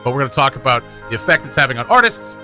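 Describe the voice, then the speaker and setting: A man's voice: mid-range voice, very animated, very fast, sharp tone, soft background music. A man hosting a morning radio show with casual, joking, rambling banter and announcements. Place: radio studio.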